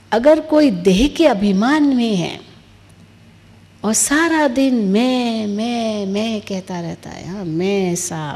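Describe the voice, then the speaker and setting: A long hall reverb surrounds a woman delivering a spiritual discourse. Her voice sits fairly high yet has a mellow tone, and she speaks very slowly. She teaches through rhetorical questions and deliberate repetition. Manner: didactic, asks rhetorical questions and answers them, repeats phrases for emphasis. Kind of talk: spiritual discourse with everyday analogies and parables.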